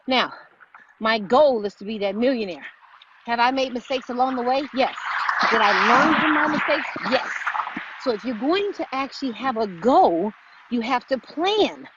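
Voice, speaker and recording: A woman with a fairly high voice motivates viewers on a live stream. She exhorts them and repeats slogans. The recording is slightly muffled, with clear noise behind her. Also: expressive, normal speed. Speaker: vlog co-host and fitness motivator.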